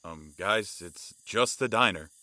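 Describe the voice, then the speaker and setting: A man's voice: on the low side, measured pace, pushed and bright, no room sound, studio quality. A man playing an easygoing, friendly adventuring companion in a fantasy audio drama. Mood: hesitant.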